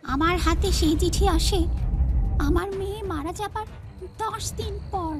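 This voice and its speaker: A woman voicing a menacing, accusing female demon, the vengeful spirit of a grieving mother, in an animated horror story. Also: very high-pitched, measured pace, music in the background, pitched above the performer's own voice. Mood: bitter, accusing.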